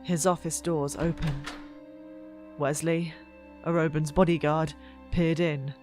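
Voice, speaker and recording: A narrator reading fantasy fiction in a dramatic, deliberate and expressive style. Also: medium pitch. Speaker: audiobook narrator. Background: soft background music.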